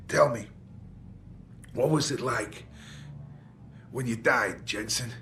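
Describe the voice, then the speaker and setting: Wounded, bitter investigator, sharp-edged voice. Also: male voice, mid-range voice, slight background noise, heavily acted. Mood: bitter, woozy, mocking. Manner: halting, sardonic, repeating himself. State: in pain, slurring and faltering. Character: gravely wounded, bitter, foul-mouthed older investigator on morphine. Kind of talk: dramatic video game acting.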